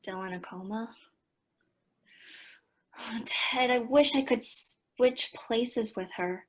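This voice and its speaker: A woman acting an earnest, worried teenage daughter in a modern radio play, with a slightly husky voice. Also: on the high side, slow, slight background noise. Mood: sad, subdued.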